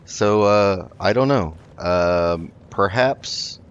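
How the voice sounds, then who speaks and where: A man hosting a roleplay gaming video, improvising in character with a polite, halting delivery. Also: low-pitched, smooth, dull audio. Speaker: gaming host.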